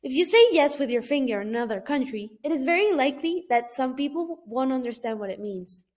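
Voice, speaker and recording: High-voiced woman with a Mexican accent. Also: expressive, a little mellow. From Mexico (Guadalajara). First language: Spanish. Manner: careful, list-like reading with pauses. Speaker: student. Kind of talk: read class presentation.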